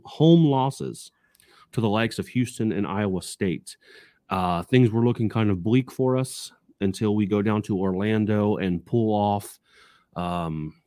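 A man co-hosting a casual sports podcast in an upbeat, excited way, recorded in a basement home studio. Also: on the low side, no room sound. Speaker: podcast co-host.

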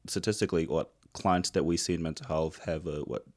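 A man's voice: a bass voice, brisk. A man of thirty who works in mental health, chatting casually with fillers and the odd laugh. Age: 30s. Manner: relaxed, chatty, laughs often, many fillers. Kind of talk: casual conversation.

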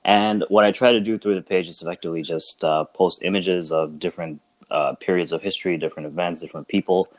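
A man's voice: sits low, fast, few pauses, dry recording. A young man from Bangladesh who grew up in Canada, a podcast guest chatting casually with many fillers. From Bangladesh, raised in Canada. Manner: relaxed, thoughtful, many fillers.

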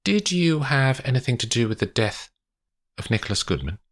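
Man voicing a composed woman lawyer, lifted pitch. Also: sing-song. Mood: firm, tactful. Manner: measured, precise, polite. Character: calm, professional woman lawyer, tactful but direct.

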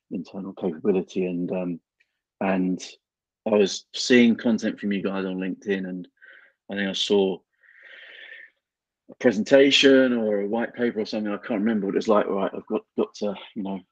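A man's voice: low voice, steady tone, dry recording. A man hosting an interview podcast over a remote call, chatting casually and often restarting his sentences. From England. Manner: halting, many restarts and fillers. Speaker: podcast host. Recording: dull lo-fi remote call audio.

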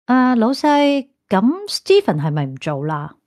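A deferential, talkative woman working as an office assistant in a radio drama. Her voice sits a bit high, with a Hong Kong Cantonese accent. The sound is close and dry. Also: wildly swooping pitch, measured pace, a little mellow, no echo. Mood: chatty, deferential.

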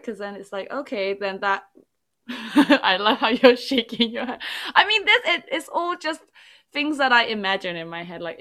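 Woman born in england, english not her first language, chatty and rambly, lots of "like", middle pitch. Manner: animated storytelling, rambling with many "like"s. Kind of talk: casual chat.

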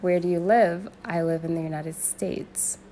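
A young woman from Sudan speaking in an earnest, searching way, pausing and restarting as she thinks aloud. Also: lowish voice, steady tone, dark tone, slight background noise. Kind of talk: personal reflective monologue.

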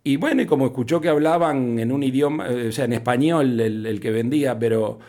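A man's voice: medium pitch, brisk, dark tone. A man with an Argentine Spanish accent, speaking conversationally as a festival founder being interviewed on radio.

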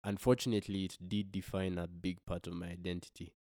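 A young man from Kenya with a very low voice speaks as a podcast guest. He talks in a reflective, hesitant way, often restarting his sentences. The recording is dry and close to the mic. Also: level tone, a little fast, mellow, dry recording. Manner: thoughtful, hesitant with many restarts. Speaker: guest musician.

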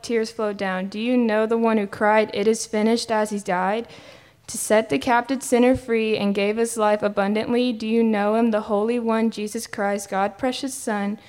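A woman performs a devotional spoken-word poem, riding a rhythmic, rhyming cadence. Her voice sits a little high and comes through smooth and resonant. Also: darkish tone.

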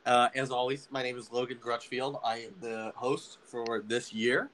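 A man's voice: medium pitch, flat delivery, fairly bright tone. Young male student podcast host, loose hesitant sports talk, ordinary mid-range voice. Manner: halting, many fillers and restarts. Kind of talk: casual sports podcast chat.

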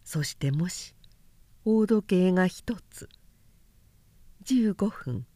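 A woman narrating literary fiction aloud as an audiobook, with measured, deliberate phrasing and pauses. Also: fairly low pitch, animated, dark tone, dry recording. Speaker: narrator reading a novel aloud.

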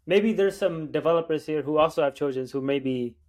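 A man's voice: fairly high pitch, brisk, darkish tone. A man hosting a podcast, asking casual questions with a few fillers and restarts. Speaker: podcast host.

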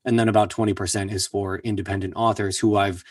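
A man with a somewhat low, husky voice shares his career story in an earnest, energetic way and often repeats words for emphasis. The recording is dry and picks him up from a little way off the mic. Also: fast talker, no echo. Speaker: voice actor guest. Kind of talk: casual interview storytelling. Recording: distant mic, dry sound.

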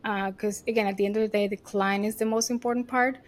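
A woman with a Venezuelan Spanish accent talks through her work in a loose, chatty way. She sounds confident and upbeat, sprinkling her answers with little fillers. Her pitch sits in an ordinary middle range. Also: steady tone, quick pace. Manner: chatty, leans on "you know" and "like". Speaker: agency CEO, guest. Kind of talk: casual interview answers.

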